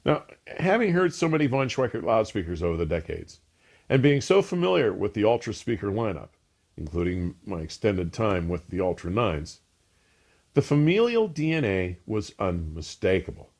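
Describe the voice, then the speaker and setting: A man with a low voice delivers a scripted equipment review with very lively intonation. His phrasing is polished and emphatic, like a keen reviewer hosting his own show. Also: moderate pace. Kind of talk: scripted product review narration.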